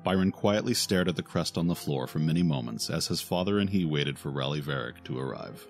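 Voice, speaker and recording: Low, gravelly male storyteller. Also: expressive, fairly quick. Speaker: narrator. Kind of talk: scripted fantasy audio drama narration. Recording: faint music behind the voice.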